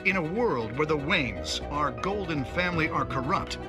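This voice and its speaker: Man acting video game news pundit, slightly high voice, dramatic, wry, scripted broadcast, music underneath. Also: expressive, moderate pace, close to an everyday voice. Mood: grave, pointed. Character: opinionated, dramatic male TV news commentator. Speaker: news presenter.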